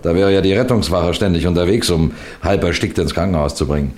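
An actor playing a shrewd, genial middle-aged detective who questions and narrates in a measured, gently teasing way, in the style of a 1960s German radio mystery. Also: male voice, sits low, close to an everyday voice. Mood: pensive, teasing. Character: shrewd, genial police detective narrating his own case.

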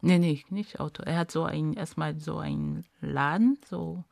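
Gravelly-voiced woman from Cameroon. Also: deep voice, normal speed, dry recording, studio quality. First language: Bamileke. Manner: animated, searching for words, frequent laughs. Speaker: interview guest. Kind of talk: casual interview conversation.

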